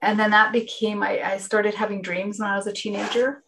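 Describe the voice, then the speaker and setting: Canadian woman, halting and reflective. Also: medium pitch, flat delivery, fairly bright tone, a little hiss or hum, low-quality recording. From Northern Ontario, Canada. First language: Finnish. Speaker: interview guest. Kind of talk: reflective personal conversation.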